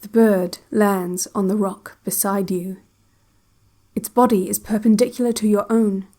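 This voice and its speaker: Woman playing a slow, controlled relaxation-tape guide. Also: mid-range voice, natural voice. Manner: measured, deliberate, hushed, detached. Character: woman narrator of an institutional relaxation cassette, controlled, quietly ominous. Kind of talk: slow guided visualization, scripted audio drama.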